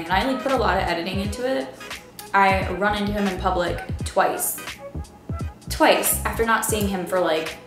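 Chatty woman in a roomy echoey room. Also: fairly low pitch. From Baltimore area, Maryland. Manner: rambling, filler-heavy "like" talk. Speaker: vlogger. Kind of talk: casual chat to camera. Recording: roomy echo with music behind.

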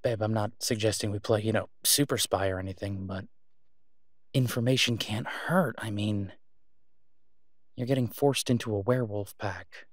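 A man playing a worried, devoted boyfriend in an audio roleplay, speaking haltingly and earnestly, as in intimate conversation. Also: lowish voice, no room sound, studio quality, close to an everyday voice. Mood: gentle, tentative.

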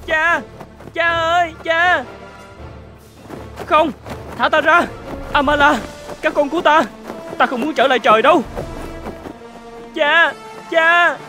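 A man playing a lovestruck young celestial prince in an animated fairy tale, earnest, theatrical and pleading. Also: extremely high pitch, takes it slowly, long pauses, soft background music, exaggerated voice. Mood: desperate.

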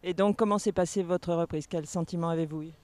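High-voiced, smooth man reporter. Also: steady tone, fast talker, a little mellow. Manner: polite, hesitant questioning. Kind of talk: interview questions. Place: outdoors at an equestrian stadium. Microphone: handheld mic.

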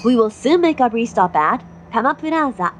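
A woman giving formal, measured railway announcements from a script. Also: on the high side, expressive. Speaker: train announcer. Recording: noisy onboard train recording. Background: train running noise.

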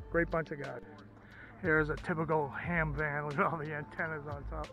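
Enthusiastic middle-aged man, higher-pitched voice. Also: flat delivery, a little slow, bright, forceful tone, soft background music. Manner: enthusiastic, gushing, folksy. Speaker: outdoors and ham radio vlogger. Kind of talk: casual vlog commentary. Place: outdoors, by a stream and at a parked car.

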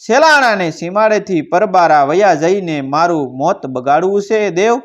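Man voicing generous village chief, storytelling character, hearty, respectful, Kathiawadi Gujarati accent, slightly high pitch, dull sound. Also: lively intonation, normal speed. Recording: dull lo-fi recording.

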